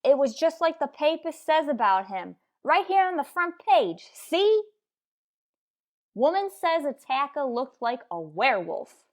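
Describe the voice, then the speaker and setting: A woman plays a nervous young newsboy in an old-time radio crime drama. The voice is pitched up high, eager and flustered, chattering in folksy street slang. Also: sing-song, unhurried, exaggerated voice. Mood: spooked, eager.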